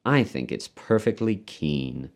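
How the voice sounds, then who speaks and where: Man playing a wretched young-family father, storybook character, low pitch, flat, sulky, bitterly sarcastic. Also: a little slow. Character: despairing, sullen, frowsy new father, bitterly sarcastic.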